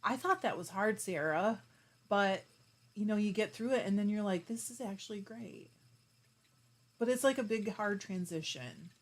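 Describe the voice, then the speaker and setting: A woman hosting a fiber arts live stream, chatting casually with viewers and reading their comments aloud while she spins yarn. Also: medium pitch. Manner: chatty and warm, with frequent laughs and quick asides; talks while spinning yarn.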